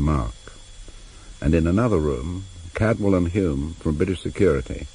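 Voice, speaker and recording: Male author narrating, low pitch, mellow husky tone, scripted serial introduction, vintage radio. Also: rough recording. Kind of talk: scripted narration, story recap.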